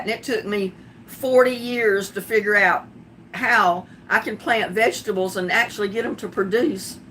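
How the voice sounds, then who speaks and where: A woman's voice: mid-range voice, a bit bright, slight background noise, rough recording. An older woman from North Carolina with a Southern accent touched by Texas, chatting casually on a livestream as a gardening channel host and telling stories with frequent laughs. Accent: Southern US with some Texas. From North Carolina, USA. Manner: chatty storytelling, laughs often.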